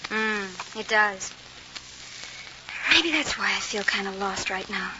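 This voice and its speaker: A woman playing a frightened, warm-hearted young survivor in a 1950s radio drama, hesitant and emotive. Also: mid-range voice, expressive, takes it slowly. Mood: shaken, fearful. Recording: very noisy, dull old vinyl radio recording.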